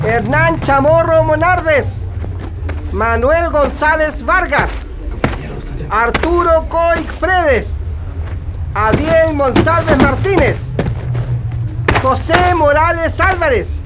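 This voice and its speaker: Man acting a harsh, high-pitched Chilean army officer. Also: over music, heavily acted. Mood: stern, commanding. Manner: barking, commanding, deliberate roll-call delivery. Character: harsh, domineering military officer rounding up workers. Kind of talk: radio drama acting. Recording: very noisy radio drama track.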